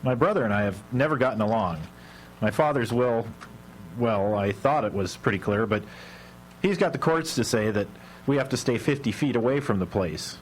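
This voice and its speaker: Actor as middle-aged husband, medium pitch, earnest, defensive, flustered, radio play, slight background hum. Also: male voice, animated, moderate pace, lightly acted, poor audio. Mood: aggrieved. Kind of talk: live radio drama acting. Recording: live radio broadcast with hum.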